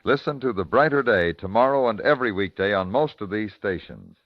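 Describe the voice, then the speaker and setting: A man announcing scripted credits and promos in formal, measured 1950s radio style, on an old broadcast recording. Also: lowish voice, expressive, dry recording, lo-fi sound. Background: music.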